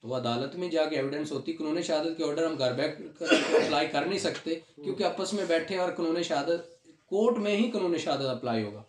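Man, Pakistani accent, high voice, classroom lecturer, explanatory, repetitive, English legal terms, roomy echo. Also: animated, brisk. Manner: explanatory, repeats key points, mixes in English legal terms. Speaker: law lecturer. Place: small room at a whiteboard, indoors. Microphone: camera a few steps away. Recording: roomy echoing indoor recording.

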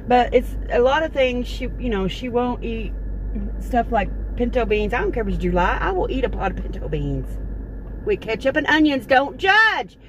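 A woman's voice: fairly high pitch, very animated, moderate pace. Middle-aged woman, meandering folksy chatter, parked car, noisy background. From Texas. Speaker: vlogger. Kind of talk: casual rambling chat to camera. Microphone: phone camera at close range. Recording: car interior with noticeable background noise.

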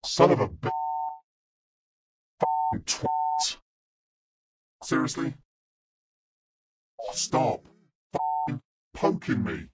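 Demonic angry chef, slow and swooping. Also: male voice, on the high side, big pitch swings, slightly rough, no room sound, exaggerated voice. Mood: angry, scolding. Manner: barking short insults and kitchen orders. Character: angry, foul-mouthed male celebrity chef with demonic voice. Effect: demonic voice filter. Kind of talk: mobile game character voice lines.

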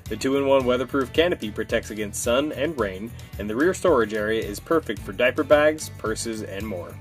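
A man's voice: mid-range voice, normal speed. A man reading a scripted promotional product narration as an advertisement voice-over. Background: music.

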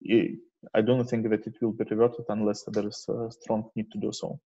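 Husky, halting man on a muffled call. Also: lowish voice, flat delivery, dark tone. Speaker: presenter at an online developer meetup. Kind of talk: informal technical presentation with slides. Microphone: video call microphone. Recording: dull, muffled lo-fi online call.

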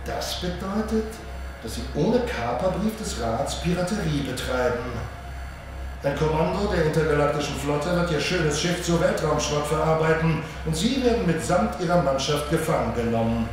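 Villainous male fleet commander for a radio play, played by a man: condescending menace, higher-pitched, heard at a distance in echo. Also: expressive, normal speed, natural voice. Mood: mock-polite menace. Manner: condescending, mock-polite, threatening. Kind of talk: German audio drama acting. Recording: echoey, distant, noisy spaceship hangar ambience.

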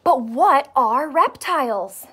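A woman in her thirties, a primary school teacher reading aloud to young children in an expressive, carefully enunciated way and asking them questions. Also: high voice, slow. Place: small home room with sofa and soft toys.

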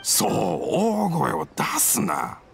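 An actor voicing a gruff, proud old swordsmith master in a video game, with curt, old-fashioned speech. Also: male voice, mid-range voice, huge pitch swings, drawn-out words, faint music behind, exaggerated voice. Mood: scolding. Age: older.

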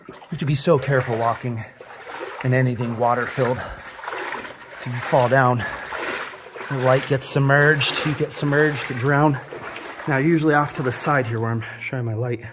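A man's voice: mid-range voice, background noise. A man narrating his own exploration of a cramped abandoned mine, enthusiastic and explaining what he sees as he goes.

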